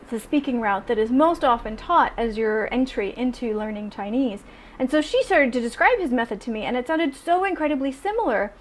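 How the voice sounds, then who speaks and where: A young adult woman chatting casually in a vlog, animated and full of ums and restarts. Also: fairly high pitch. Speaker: vlogger.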